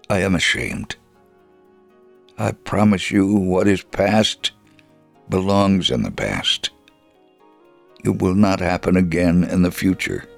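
A man with a deep, gravelly voice narrates a personal testimony. He takes his time with each phrase and voices the dialogue in a measured way. The recording is very dry and close. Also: no room sound. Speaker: story reader.

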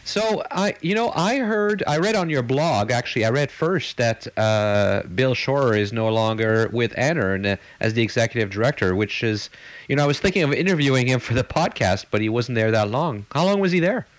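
A man's voice: medium pitch, expressive, normal speed, no room sound. A male podcast host in casual conversation, curious and asking questions.

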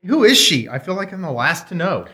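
A man's voice: sits high, big pitch swings, fairly quick, sharp tone, a little hiss or hum. A man bantering in a hammy, teasing way as co-host of a live comedy variety show. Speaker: podcast co-host. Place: live stage at a comic shop. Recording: echoey live venue with music and crowd.

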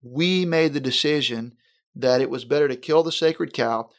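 Earnest pastor, measured and deliberate. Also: male voice, mid-range voice. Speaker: senior pastor. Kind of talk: conversational interview.

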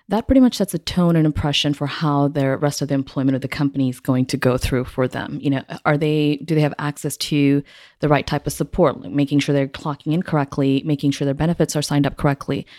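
Chatty woman from Singapore as interview guest, low mellow voice, dry sound. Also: steady tone, brisk, no room sound. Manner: eager, chatty, lots of fillers. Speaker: guest, business owner. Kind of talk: interview answers.

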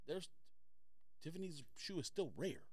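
A man's voice: fairly high pitch, unhurried, dry recording. A man co-hosting a podcast in casual debate, insistent and argumentative. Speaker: podcast co-host.

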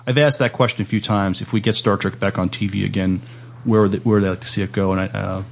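Low, creaky-voiced man, tentative interviewer. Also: fairly even intonation, a little hiss or hum. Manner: tentative, halting phrasing. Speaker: podcast host interviewer. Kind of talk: casual interview chat.

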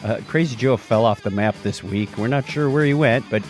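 A man's voice: mid-range voice, fairly quick, a little mellow, soft background music. A man hosting a podcast in a casual, playful, joking style. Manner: jokey, playful. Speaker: podcast host. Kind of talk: casual chat, listener shout-outs.

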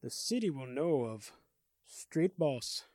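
A man voicing a mysterious male crime boss in an audio story, in a measured, commanding manner. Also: mid-range voice, sing-song, darkish tone, dry recording, clean studio sound. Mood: cool command.

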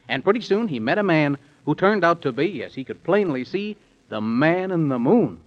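A man's voice: a little high, sing-song, normal speed. A man narrating a children's story in singsong, bouncy rhyme, in 1930s radio storytelling style. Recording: dull old 1930s radio recording.

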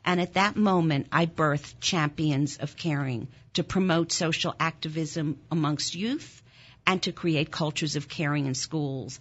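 A German-born woman in her sixties, a nonprofit founder, telling a deeply personal story in an interview in an earnest, intense way, her voice rising sharply when moved. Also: measured pace, muffled recording. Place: Philadelphia.

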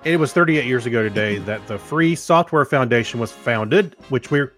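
A man's voice: medium pitch, moderate pace, fairly bright tone, faint music behind. A man co-hosting a podcast, chatting casually and reading items aloud. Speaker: podcast co-host.

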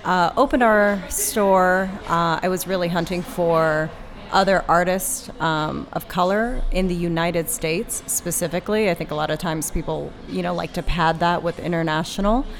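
Woman, 30s, from Puerto Rico, shop owner; lower voice, faint room hiss behind. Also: normal speed. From Puerto Rico, raised in Florida. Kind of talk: casual interview talk.

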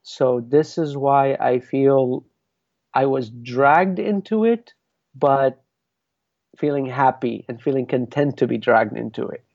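A man's voice: mid-range voice, lively intonation, slow pace, creaky, darkish tone, no room sound, dull audio. A man from Lebanon, a dance artist, talking reflectively in a podcast interview, with many restarts. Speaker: guest, belly dance artist.

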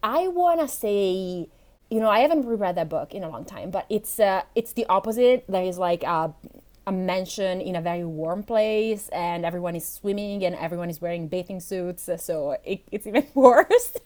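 A woman's voice: medium pitch, expressive, normal speed, a little mellow. A woman with an Italian accent, a podcast guest chatting casually, often laughing and restarting her sentences. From Italy. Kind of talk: casual chat.

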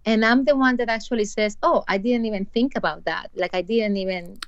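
A woman's voice: mid-range voice, steady tone, fairly quick, no room sound, muffled recording. Colombian-accented woman telling a story. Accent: Colombian Spanish. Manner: storytelling with many restarts and hesitations. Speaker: podcast host, dental practice owner. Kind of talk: casual chat.

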